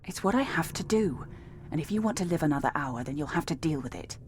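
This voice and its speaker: A woman's voice: low voice, animated, fast talker, slight background noise. An actress playing a stern, sardonic female assassin in a video game, with a dry, threatening delivery.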